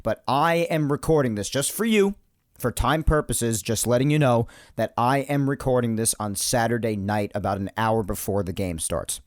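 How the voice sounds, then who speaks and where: A man hosting his own sports podcast, chatty and rambling, his voice slightly hoarse from yelling earlier. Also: mid-range voice, moderate pace, no room sound, clean studio sound. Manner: animated, chatty, rambling asides. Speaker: podcast host. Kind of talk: solo sports podcast monologue.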